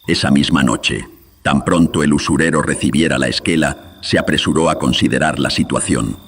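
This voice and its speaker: A man's voice: normal speed, a bit bright. A man narrating a scripted horror radio drama in a solemn, dramatic storytelling manner, with a processed voice. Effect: deepening voice filter.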